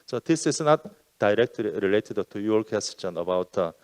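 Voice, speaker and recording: A man with a lowish voice gives panel remarks over a video call, stopping often to reword himself. The sound is dry and close, with no room around it. He speaks at an even, deliberate pace. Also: no room sound. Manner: halting, many fillers and self-corrections. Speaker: UN environmental program officer.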